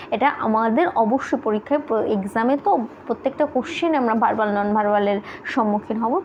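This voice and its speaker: A woman teaching an explanatory lesson, repeating key points and answering her own questions. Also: on the high side. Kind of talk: explanatory classroom lesson in Bengali mixed with English terms. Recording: dull lo-fi sound with background noise.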